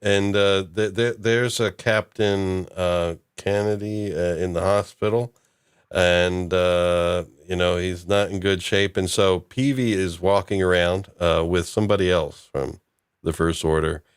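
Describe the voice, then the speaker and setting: A man hosting a casual discussion, recapping in a halting, loosely improvised way. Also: low-pitched, steady tone, smooth, no room sound, clean studio sound. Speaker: host of a discussion show.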